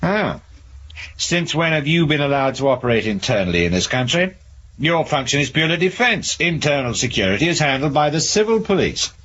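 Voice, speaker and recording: An actor playing a dogged, sceptical police inspector in a 1970s radio drama, probing and blunt with dry defiance. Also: male voice, fairly high pitch, very animated, a little fast, a bit bright. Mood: challenging. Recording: dull, noisy old radio recording.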